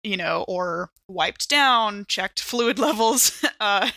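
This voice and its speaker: A woman's voice: medium pitch, expressive, takes it slowly, creaky, bright, forceful tone, no room sound. A woman playing a tabletop game, casually improvising details about her character and thinking aloud in loose, conversational phrases.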